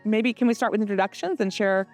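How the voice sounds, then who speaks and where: A woman hosting a school podcast, speaking conversationally and asking students open questions with gentle follow-ups. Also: medium pitch, steady tone, quick pace, faint music behind.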